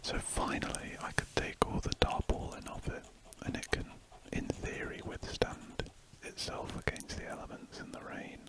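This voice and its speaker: A man talks in a breathy, unvoiced whisper right up against a close mic. He drifts from thought to thought and restarts his phrases as he works on a drawing. The soft ASMR delivery stays hushed throughout. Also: normal speed, few pauses, low-quality recording. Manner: soft rambling whisper with self-corrections. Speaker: ASMR drawing channel host. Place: indoors at a drawing desk.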